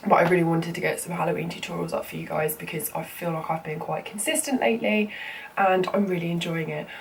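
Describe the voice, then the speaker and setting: A young woman talks through a home makeup tutorial in a slightly low voice, stuffy and bunged up from a cold. She rambles casually with lots of ums and you-knows. The mic sits a little away from her, with a faint hiss of room noise underneath. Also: fairly even intonation, fast talker, vocal fry, distant mic. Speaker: makeup vlogger.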